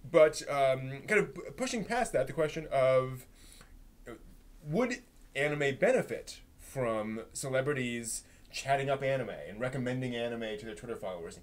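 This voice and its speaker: A man's voice: medium pitch, expressive, moderate pace. A man hosting a casual live stream discussion, talking in a hesitant way that circles toward his point.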